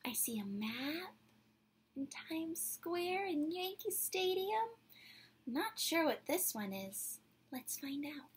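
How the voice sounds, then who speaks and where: A woman in her twenties reading a picture book aloud to children, with playful, deliberate, dramatic phrasing, recorded at home in a small room. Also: high-pitched, big pitch swings, a little hiss or hum. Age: 20s. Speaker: children's read-aloud presenter.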